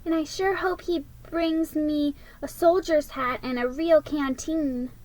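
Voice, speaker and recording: An innocent, eager little storybook boy, played by a woman. His voice is lifted high and he speaks without hurry. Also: fairly even intonation, takes it slowly, darkish tone, rough recording. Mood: hopeful.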